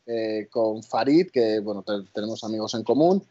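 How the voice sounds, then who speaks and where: A man's voice: fairly low pitch, expressive, normal speed, dark tone. A man interviews in a casual, conversational register. He rambles a little and often reshapes a sentence halfway through. The audio is slightly dull and lo-fi.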